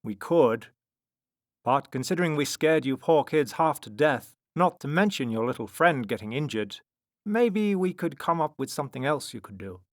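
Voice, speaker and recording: A man voicing a huge, gentle circus strongman in a storybook, with a sincere, placating manner. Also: mid-range voice, lively intonation, moderate pace, dry recording, clean studio sound, pitched above the performer's own voice, lightly acted. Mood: apologetic.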